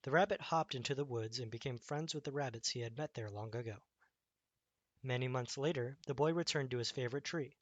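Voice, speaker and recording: A man reading a children's bedtime story aloud as an expressive storyteller. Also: medium pitch, brisk, no room sound.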